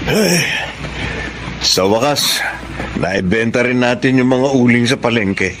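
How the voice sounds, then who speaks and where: An actor with a Filipino accent playing a plain-spoken, chatty rural charcoal seller in a radio drama. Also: male voice, medium pitch, takes it slowly, background noise, natural voice. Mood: relieved.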